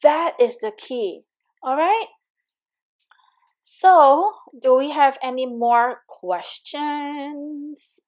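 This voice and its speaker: A woman with a Singaporean accent runs a live coaching webinar in a somewhat high voice. She talks in a chatty, encouraging way, full of fillers, and greets viewers by reading their comments aloud. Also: drawn-out words, long pauses, darkish tone. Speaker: money coach.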